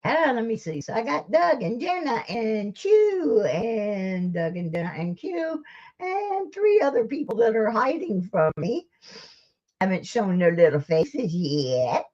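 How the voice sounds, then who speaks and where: A woman's voice: a little high, sing-song, measured pace, darkish tone. A woman hosting a live stream, chatting casually and rambling to her viewers in a folksy, self-deprecating way, on a dull lo-fi recording. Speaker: livestream host.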